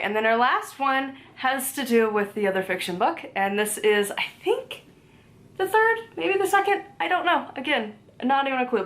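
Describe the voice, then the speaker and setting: A woman's voice: fairly high pitch, fairly bright tone. A young woman chatting casually at home about her library books, rambling, full of "like" fillers, with easy laughs. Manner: rambling, chatty, many "like" fillers and self-corrections; easily laughs, talks to her cat between books. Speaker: book vlogger. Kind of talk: casual chat. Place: home, indoors.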